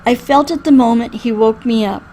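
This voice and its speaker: A woman reading a first-person story aloud in an expressive, dramatic way. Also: on the high side, moderate pace, a little hiss or hum. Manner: expressive, acts out the dialogue. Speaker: reader playing through a visual novel.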